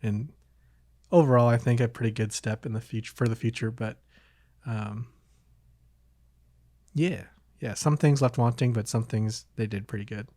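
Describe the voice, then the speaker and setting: A man's voice: medium pitch, fairly even intonation, moderate pace, long pauses, darkish tone, no echo. A man chatting casually as a podcast host, hesitant and rambling as he thinks aloud. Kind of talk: casual chat.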